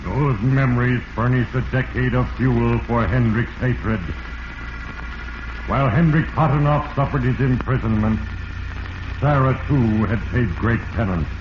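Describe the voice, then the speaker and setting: An actor playing a solemn, ominous narrator of fate with grave, theatrical, deliberate delivery in a 1940s radio drama. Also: male voice, mid-range voice, natural voice. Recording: echoey, noisy, muffled 1940s radio recording.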